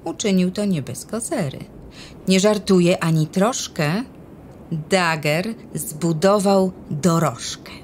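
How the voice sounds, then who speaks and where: A woman's voice: on the low side, slow, darkish tone, slight background noise. A woman narrating a rhymed children's Christmas story expressively, sometimes dropping to a whisper.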